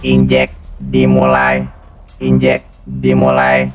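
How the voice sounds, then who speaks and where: A man's voice: monotone, slow, soft background music. A man voicing a cold, menacing killer robot through a robotic voice changer, speaking in clipped, repeated machine phrases in a game roleplay. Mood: cold, mechanical. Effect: deep robotic voice changer.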